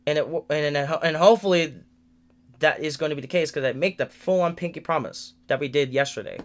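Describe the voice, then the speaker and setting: A man's voice: fairly high pitch, brisk. A nineteen-year-old man giving a rambling livestream update from a room in his family home, venting with frequent restarts and repeated words. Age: late teens. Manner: frustrated, venting.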